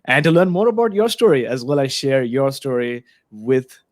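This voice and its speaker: A man's voice: mid-range voice, big pitch swings, normal speed, dry recording, studio quality. A man hosting a spiritual podcast, reading an upbeat intro and asking questions over a video call. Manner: upbeat, scripted intro with fillers. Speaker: podcast host.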